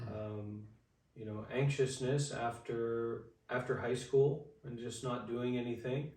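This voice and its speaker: A man in his twenties tells his story in a halting, unhurried way. His voice sits in a narrow, flat range. It comes from some distance off, in a room with lots of echo. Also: medium pitch. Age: 20s. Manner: halting, many fillers and restarts. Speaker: interview guest, Buddhist monk. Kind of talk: casual storytelling in an interview. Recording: echoey room, far from the mic.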